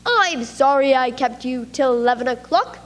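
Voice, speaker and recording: A woman's voice: high-pitched, expressive, normal speed, in a large hall. A woman voicing a newly hatched bird who thanks a boy politely and cheerfully. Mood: grateful.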